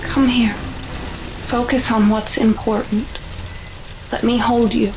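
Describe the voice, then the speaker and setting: A woman's voice: on the high side, little pitch movement, slow, background noise. A woman acting a stubborn, fiercely protective mother in an audio drama, emotional and insistent. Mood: tender, soothing.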